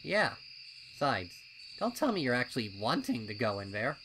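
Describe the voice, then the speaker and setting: A man's voice: mid-range voice, wildly swooping pitch, normal speed, fairly bright tone, a little hiss or hum, pitched above the performer's own voice. A man voicing a wary, defensive young colt pony with a blustering, indignant manner in a story reading.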